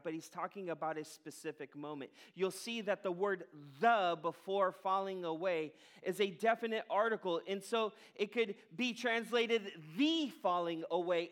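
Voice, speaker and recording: Man, pastor, high voice, sermon delivery, earnest, phrases trailing down. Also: a bit bright. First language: English.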